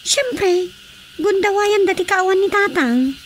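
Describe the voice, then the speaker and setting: An actress with an Ilocano accent playing an earnest, gently insistent young village wife in a radio drama. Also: female voice, very high voice, lively intonation, slow, slight background noise, heavily acted.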